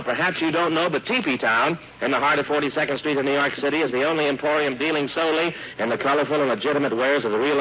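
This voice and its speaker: Bright, hearty American radio showman. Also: a little high. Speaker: radio show host and announcer. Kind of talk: 1940s live radio children's show announcing. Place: live radio broadcast before an audience. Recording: dull, noisy 1940s radio recording.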